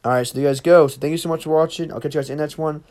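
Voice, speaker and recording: A man's voice: a little high, steady tone, very fast, a little mellow. A man giving an informal, rambling app tutorial, talking to his viewers in a casual way. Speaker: tutorial host.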